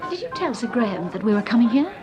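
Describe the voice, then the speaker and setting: Refined British wife, inquisitive, affectionate, high voice, radio drama, busy background. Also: female voice, sing-song, quick pace, dull audio, loud music behind the voice, over a noisy crowd. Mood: wary, curious. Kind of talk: BBC radio drama acting.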